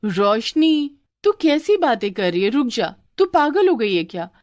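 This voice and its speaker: A woman's voice: fairly high pitch, sing-song, measured pace, a little mellow, no echo, pitched above the performer's own voice. A woman voicing a bossy, exasperated elder sister from a village in a cartoon story. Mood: exasperated scolding. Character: scolding, hard-working village elder sister. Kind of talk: cartoon moral story acting.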